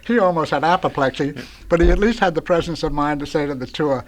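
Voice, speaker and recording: A man's voice: a little high, rough recording. Older man telling rambling radio anecdotes. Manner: rambling, halting, groping for words. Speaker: radio veteran guest, former sound effects man. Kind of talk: anecdotal storytelling on a talk show. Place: radio studio.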